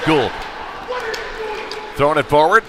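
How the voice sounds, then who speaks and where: A man's voice: fairly high pitch, expressive, takes it slowly, noisy background. A man calling live hockey play-by-play in clipped present-tense phrases that build with the action. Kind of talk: live sports commentary.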